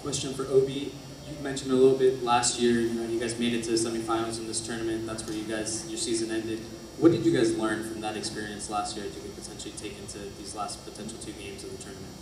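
A man's voice: on the low side, little pitch movement, quick pace, few pauses. A man working as a reporter, asking a question at a press conference. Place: press conference room, indoors. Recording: echoey room, far from mic, noisy.

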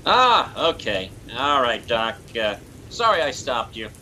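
A man's voice: medium pitch, big pitch swings, a little slow, sharp tone, slight background noise, exaggerated voice. A man playing an easygoing but officious motorcycle cop in an old-time radio suspense drama. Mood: official, easygoing. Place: indoors at home on a video call.

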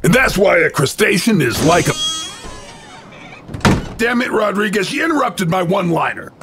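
An actor playing a cocky, flippant action hero in a video game, tossing off sardonic one-liners. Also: male voice, high-pitched, very animated, normal speed, background noise. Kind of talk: video game cutscene acting.

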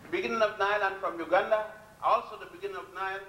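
An older man giving a public lecture from a podium, blunt and defiant, telling his story with long pauses. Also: high voice, steady tone, pushed and bright, recorded from a distance, highs cut off. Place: lecture hall at a podium before a chalkboard. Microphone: podium mic on a stand, filmed from a distance. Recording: echoey hall, recorded from afar.

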